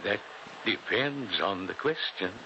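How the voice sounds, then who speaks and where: A noisy vintage radio recording carries an elderly family doctor with a low voice. The man is grave and soothing, speaking slowly and with hesitation. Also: expressive, lo-fi sound. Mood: evasive, sorrowful. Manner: measured, hesitant. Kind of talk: 1940s radio acting.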